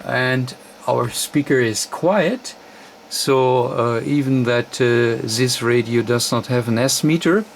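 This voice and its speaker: A man giving an unscripted technical demonstration as a radio technician, speaking in a halting, fragmented way with very frequent hesitations. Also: mid-range voice. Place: indoor electronics test bench. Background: radio receiver noise.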